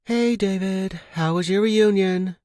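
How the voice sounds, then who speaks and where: A man voicing a brisk, prying young female coworker in an audio story, casual and pointed. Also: high-pitched, warm tone, no echo, studio quality, pitched above the performer's own voice.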